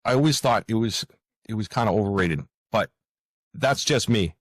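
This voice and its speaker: A man with a low, deep voice chats as a guest in an interview. He speaks haltingly, pausing and doubling back on his words. The sound is close and dry. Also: expressive, dry recording. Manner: hesitant, halting, with frequent pauses and repeated words. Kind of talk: casual interview conversation.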